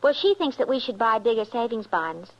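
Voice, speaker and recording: High-voiced nagging housewife, vintage radio. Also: female voice, expressive, a little fast. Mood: chatty. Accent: American. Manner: insistent, chattering. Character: nagging, chatty, exasperated American housewife. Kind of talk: 1950s radio advertisement sketch acting. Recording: muffled vintage radio recording.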